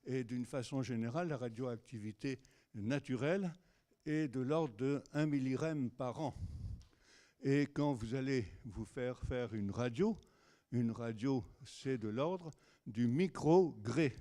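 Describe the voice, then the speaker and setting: Man hosting a conference panel, slow and mellow, keeps stumbling over words. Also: mid-range voice. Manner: hesitant, many fillers and restarts. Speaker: conference moderator. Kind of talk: introducing a lecture.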